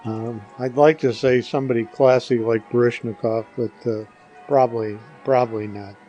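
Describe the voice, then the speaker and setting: Older male guest, medium pitch, hesitant dry understatement in casual answers, faint music behind. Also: steady tone, moderate pace, dark tone. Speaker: podcast guest, the hosts' father.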